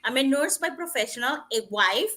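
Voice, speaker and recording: A woman's voice: high voice, animated, fairly quick, bright, forceful tone. A woman from Cuba co-hosting an online live show, cheerful and laughing easily. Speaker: co-host.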